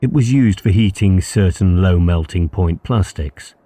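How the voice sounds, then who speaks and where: A man's voice: deep voice, unhurried, mellow. A man narrating a novel as an audiobook, reading scripted literary prose.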